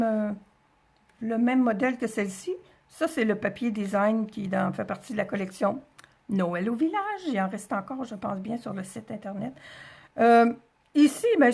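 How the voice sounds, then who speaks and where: Casting: female craft-tutorial host, Quebec French accent, slightly high pitch, notably husky tone, informal and chatty, thinks aloud while demonstrating step by step.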